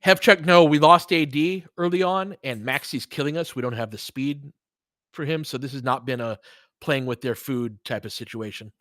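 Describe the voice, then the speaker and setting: A man's voice: medium pitch, moderate pace, fairly bright tone, no echo, studio quality. A man hosting a sports stream, chatting casually with listeners and giving analysis and commentary in a thoughtful, somewhat hesitant, conversational way. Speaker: sports podcast host and live play-by-play commentator.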